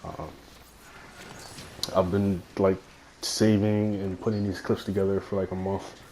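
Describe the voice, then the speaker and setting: Raspy deep-voiced young man with a cold. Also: level tone, a little slow, warm tone, a little hiss or hum, poor audio. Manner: rambling, lots of fillers and swearing. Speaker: gaming YouTuber. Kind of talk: casual gameplay commentary. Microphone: phone headphone mic.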